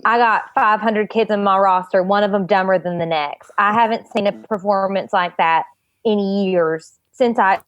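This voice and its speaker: A woman's voice: mid-range voice, moderate pace, fairly bright tone, highs cut off, close to an everyday voice. A woman playing a brash, blunt, hard-selling agent for child actors in improvised comedy. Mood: brash, impressed. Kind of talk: improvised comedy character talk.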